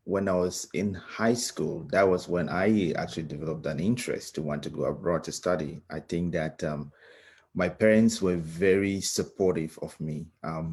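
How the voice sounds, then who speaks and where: A man from Cameroon with a Cameroonian accent, giving a reflective personal talk as a guest speaker in a halting, thoughtful, earnest manner. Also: deep voice, darkish tone, slightly rough.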